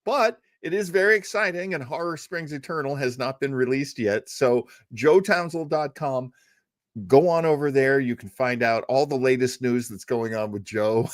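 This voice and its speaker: A man's voice: mid-range voice, no echo, studio quality. An older man hosting an old-time radio show in a casual livestream chat, rambling, effusive and self-deprecating.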